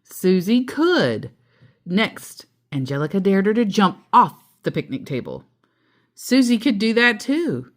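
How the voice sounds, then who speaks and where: Woman reading a storybook with lively, swooping intonation. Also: medium pitch, a little slow. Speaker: storyteller reading a children's book aloud. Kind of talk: expressive storybook read-aloud with casual asides.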